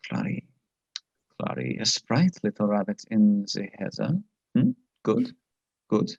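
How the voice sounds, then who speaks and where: Man acting a creaky-voiced, smugly flirtatious commandant. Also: on the low side, big pitch swings, unhurried, darkish tone, dry recording, muffled recording, full character voice. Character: menacing, flirtatious male Nazi commandant, smugly charming. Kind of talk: stage play reading.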